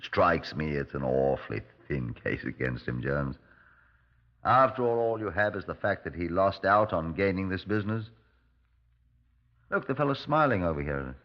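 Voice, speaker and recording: Wry, low-voiced police superintendent, swooping delivery. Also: male voice, animated, normal speed. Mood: skeptical, needling. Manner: wry, understated, questioning. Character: dry, skeptical Scotland Yard superintendent, a man. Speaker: detective and narrator. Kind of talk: 1950s radio drama acting. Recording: dull old radio recording.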